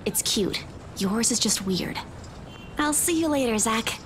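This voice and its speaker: A woman playing a worried, guarded young woman who is a loyal, gentle friend in a visual novel game. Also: fairly high pitch, lively intonation, unhurried, noisy background. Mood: teasing.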